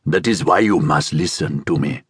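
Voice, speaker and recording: A man voicing a strong older man who speaks deliberately and persuasively, with a guttural Egyptian accent, in a narrated story. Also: very deep voice, breathy edge, no room sound, clean studio sound, voice pushed down, heavily acted. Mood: urgent, persuasive. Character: Nahor, strong, commanding older man with magnetic menace.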